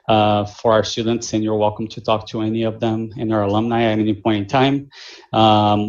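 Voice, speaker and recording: A man with a Brazilian Portuguese accent and a low, gravelly voice walks prospective students through admissions. He talks in measured stretches full of small fillers and restarts. The video call audio sounds dull and muffled. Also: a little mellow. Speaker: admissions director. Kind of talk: answering questions in a virtual info session.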